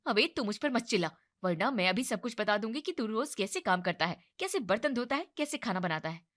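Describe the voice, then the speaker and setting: A sassy young woman, voiced by a woman, pitched high and bright with a quick, cheeky tongue. She sounds like a storybook heroine. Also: sing-song. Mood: rude.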